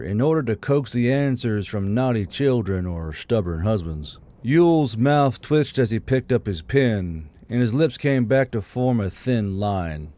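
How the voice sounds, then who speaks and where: A man's voice: medium pitch, dark tone, dry recording. A man narrating a fantasy audiobook from a script.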